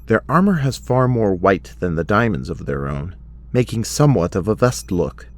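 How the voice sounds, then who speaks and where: A man's voice: fairly low pitch, big pitch swings, moderate pace. A man reading scripted dramatic narration as the narrator of an audio drama.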